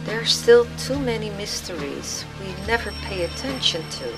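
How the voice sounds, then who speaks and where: A woman's voice: mid-range voice, lively intonation, normal speed, close to an everyday voice, low-quality recording. A woman voicing a pensive, weary man in a brooding inner monologue for a radio play. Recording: music laid under the voice.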